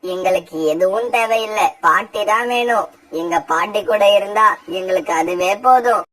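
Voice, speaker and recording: A woman's voice: medium pitch, moderate pace, fairly bright tone, recorded from a distance, faint music behind, natural voice. A woman voicing a stubborn, earnest young bird child in a cartoon. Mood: sulky.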